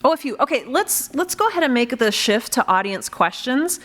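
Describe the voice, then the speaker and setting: A woman's voice: high-pitched, sing-song, moderate pace, a bit bright. A woman moderating a live panel in a casual, conversational style. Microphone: wired panel microphone.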